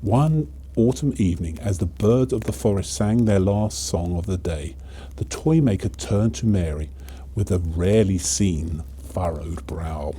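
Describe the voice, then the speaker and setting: Deep, mellow male storyteller. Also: unhurried, breathy edge. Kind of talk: dramatic children's storybook narration.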